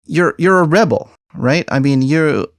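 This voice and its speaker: A man's voice: on the high side, lively intonation, unhurried, no room sound. Man hosting a podcast, dry close sound. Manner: informal, rambling, rephrasing questions as he goes. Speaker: podcast host; psychologist. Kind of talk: conversational podcast interview.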